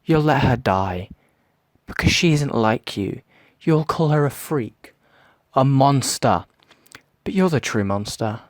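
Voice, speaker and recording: A man performs a sadistic villain of the shadows for an audio drama. He taunts and gloats in a grandiose, theatrical way, at a middle pitch, in a very dry, close recording. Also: lively intonation, takes it slowly, no echo. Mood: mocking, bitter.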